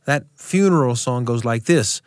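A man hosting a radio show, telling personal stories in a reflective, unhurried way into a close studio microphone. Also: medium pitch, lively intonation, clean tone, no room sound. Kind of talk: warm personal storytelling and studio chat.

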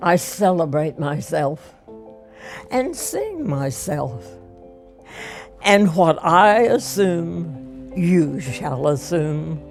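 A woman reading poetry aloud for a documentary, slow and halting, with long pauses between phrases. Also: low-pitched, a little mellow.

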